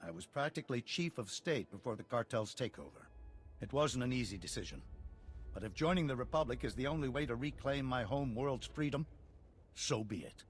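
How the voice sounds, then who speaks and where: An actor playing a dignified, worried middle-aged colonial leader in a video game, speaking formally and earnestly. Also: male voice, medium pitch, expressive, fairly quick, slight background noise, lightly acted. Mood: solemn, grateful. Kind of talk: video game cutscene acting.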